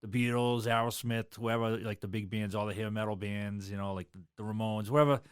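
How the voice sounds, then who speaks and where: A man's voice: fairly low pitch, fairly even intonation, a little fast, clear, resonant tone, dry recording, studio quality. A man, a drummer talking loosely in an interview, rambling with lots of fillers. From South Boston, USA. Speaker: drummer and guest. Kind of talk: casual interview chat.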